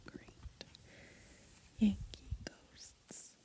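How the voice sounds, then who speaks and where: A woman softly murmuring ASMR commentary as she browses a book, breathy and gentle, outdoors. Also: drawn-out words. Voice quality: breathy, whispery. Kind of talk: ASMR, soft murmured commentary and title reading. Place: outdoors on grass.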